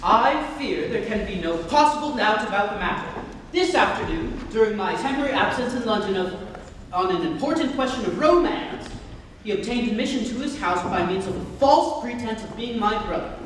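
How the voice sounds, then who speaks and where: A young woman playing a stubborn, indignant gentleman guardian in a stage comedy reading, formal and earnest in delivery. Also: medium pitch, lively intonation, brisk, close to an everyday voice. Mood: stern. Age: young adult. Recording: echoey noisy hall from far off.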